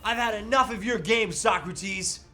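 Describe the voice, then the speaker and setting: A man's voice: high-pitched, unhurried, bright, forceful tone, soft background music, full character voice. A man playing a defiant, indignant everyman husband in a comedic script read, speaking with earnest melodrama.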